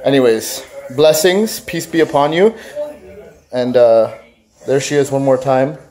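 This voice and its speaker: A man's voice: mid-range voice, unhurried, mellow, low-quality recording. A man chatting casually on his vlog, rambling and self-mocking, with plenty of swearing. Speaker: vlogger. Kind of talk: casual joking vlog chat.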